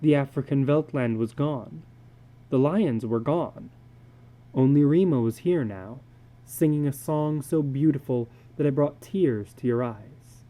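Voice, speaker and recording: Casting: man for literary narration, dark and soft-edged tone, unhurried, measured dramatic delivery. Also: mid-range voice. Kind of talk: dramatic literary story reading.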